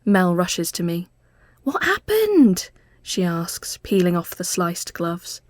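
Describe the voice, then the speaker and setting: A woman reading a literary ghost story as scripted narration, measured and often hushed as phrases end. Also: fairly low pitch, completely flat delivery, no echo.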